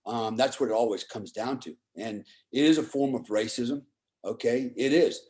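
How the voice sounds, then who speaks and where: Middle-aged male, medium pitch, blunt opinionated conversational storyteller, salty language, frequent check-ins with the listener. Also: normal speed, a little mellow. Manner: often seeks agreement with "right?" and "you know".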